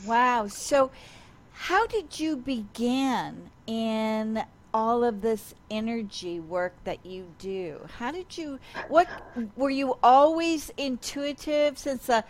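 Slow-speaking upbeat woman radio host. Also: on the high side. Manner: enthusiastic, upbeat. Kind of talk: talk radio hosting.

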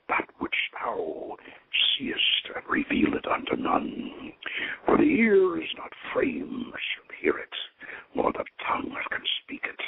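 A man giving a solemn, theatrical reading of mystical, archaic scripture in an incantatory manner, with a husky, gravelly voice and dull lo-fi sound. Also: medium pitch, no room sound. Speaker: reader of an occult ritual text.

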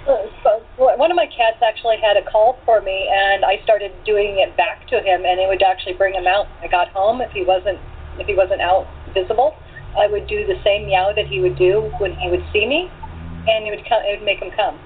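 A woman's voice: medium pitch, fairly even intonation, fairly quick. A woman guest chatting casually, rambling a little hesitantly as she recalls things. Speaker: voice actor guest. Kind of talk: casual chat. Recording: tinny phone line with faint noise.

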